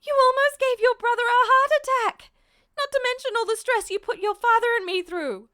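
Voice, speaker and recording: A woman's voice: very high-pitched, moderate pace, bright, forceful tone, voice pushed up. A woman voicing a distraught, exasperated mother in a fan fiction audiobook, scolding with emphatic, reproachful delivery. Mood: angry, shouting. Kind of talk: acted dialogue in an audiobook-style fan fiction reading.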